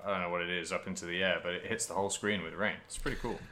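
A man with a slightly low, forceful, bright voice talks as a podcast co-host. His delivery is casual and chatty, wandering as he thinks aloud about games. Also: steady tone, racing. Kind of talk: casual video game discussion.

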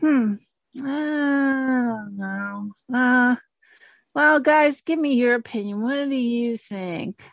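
A woman acts a little troll in an improvised audio drama. The voice is high and slow, musing and full of wonder, in a dry, dulled recording. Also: vocal fry, dry recording, highs cut off. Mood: pondering.

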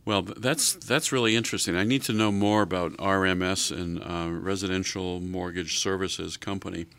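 A man's voice: sits low, moderate pace, a bit bright. A man hosting an interview, restating what the guest said and asking leading questions. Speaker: show host.

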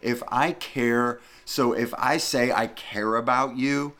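A middle-aged man from the northern United States talks casually as a panelist. His mid-pitched voice is animated and emphatic. He tells stories conversationally, often repeating phrases to drive them home. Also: slow.

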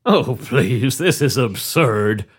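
A man's voice: medium pitch, expressive, background noise, pitched below the performer's own voice. A man voicing a bumbling, cowardly male ambassador who blusters and panics when pressed. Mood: flustered. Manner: blustering, panicky, slow and whiny.

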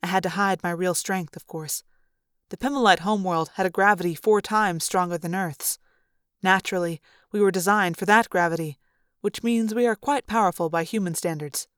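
A woman voicing a gentle ancient android man. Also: on the low side, smooth, dry recording, clean studio sound, lightly acted. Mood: calm. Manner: soft, measured, earnest.

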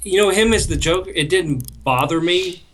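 A man's voice: high voice, normal speed, a bit bright, slight background noise. A man in a casual podcast chat, speaking in a hesitant, understated way. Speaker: podcast co-host.

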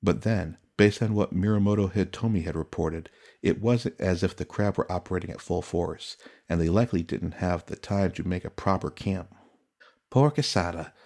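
Deep-voiced man narrating fantasy fiction. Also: steady tone, moderate pace, hardly any pauses, mellow. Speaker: audiobook narrator. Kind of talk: scripted fantasy story narration.

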